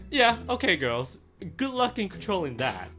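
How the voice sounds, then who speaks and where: A woman's voice: medium pitch, very animated, measured pace. A woman voicing a weary, sarcastic adult pony mentor in a parody cartoon, with music behind her. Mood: wary.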